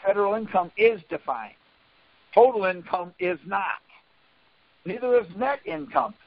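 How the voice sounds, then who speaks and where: Man, high voice, insistent, argumentative explaining, repetitive, interrupts, dull conference call audio. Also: expressive, unhurried, gravelly. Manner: insistent, interrupting, repeats himself. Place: group phone conference call.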